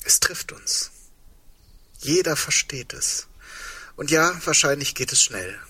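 A man's voice: a little high, expressive, slow, bright, forceful tone, husky, whispered, full character voice. An actor playing a wry, reflective man facing impending doom in a naturalistic radio play, speaking in an understated, rambling way. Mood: quietly resigned.

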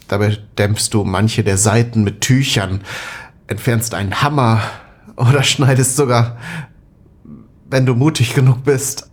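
A man acting a brooding, burdened character in an intimate audio spy drama, reflective and halting. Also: medium pitch, breathy edge.

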